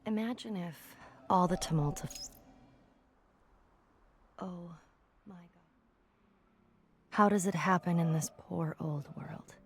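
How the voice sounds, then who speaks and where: A woman reading quotations for game audio logs in a deliberate, contemplative manner. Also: fairly low pitch, a little hiss or hum.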